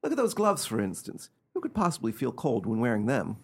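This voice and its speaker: Radio play, nervous gentleman of means, theatrical, high-strung, rough husky tone, very animated. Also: a little fast, full character voice. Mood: breezy, teasing. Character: nervous, haunted, imperious well-off gentleman in terror.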